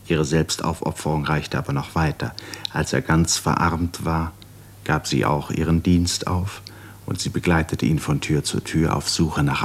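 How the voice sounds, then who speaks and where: A male narrator with a very low voice reads scripted literary prose. He tells the story of a radio play. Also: husky.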